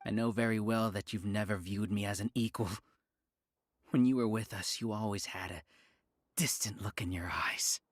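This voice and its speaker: An actor playing a proud, resentful scholar villain in a video game, with a husky voice and dramatic delivery. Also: male voice, fairly low pitch, fairly even intonation, normal speed, no room sound, close to an everyday voice. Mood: bitter, wounded. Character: proud, bitter defeated male scholar villain. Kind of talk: English video game voice acting.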